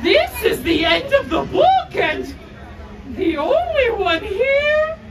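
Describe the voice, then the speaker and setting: A woman's voice: very high voice, big pitch swings, heavily acted. Lady doing a furry storybook monster, super dramatic n drawn out, echoey noisy outdoor recording. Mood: sheepish, relieved. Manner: melodramatic, drawn-out pleading and exclaiming. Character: lovable, furry, melodramatic, frightened storybook monster. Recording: distant, echoey outdoor crowd, loud noise.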